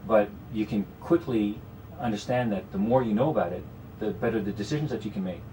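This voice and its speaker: A man's voice: fairly low pitch, a little fast, dark tone, recorded from a distance, a little hiss or hum, low-quality recording. A man with a Canadian accent, a guest strategist explaining investments in a radio studio conversation, with many "you know"s. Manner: explanatory, talks in long runs with frequent "you know".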